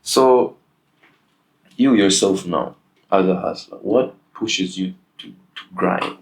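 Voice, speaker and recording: A man's voice: sits low, slow, low-quality recording. A young man hosting a casual interview, talking in a hesitant way with many fillers. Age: young adult. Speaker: host.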